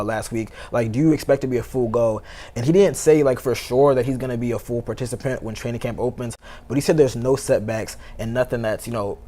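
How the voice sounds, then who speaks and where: A man's voice: mid-range voice, dark tone. An American man, a sports reporter, giving casual analysis as a talk show guest, peppering his speech with "you know" and "like". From Baltimore, United States. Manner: conversational, heavy use of "you know" and "like".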